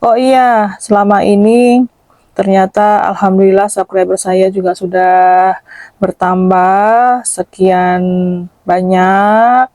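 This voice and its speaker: A woman with a slightly shrill voice, chatting casually and modestly to her viewers in a voice-over as a makeup artist. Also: steady tone, slow, darkish tone, dry recording. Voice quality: shrill, thin. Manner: self-deprecating, chatty, addresses viewers as friends. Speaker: makeup artist and channel host. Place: dubbed voice-over, dry close recording.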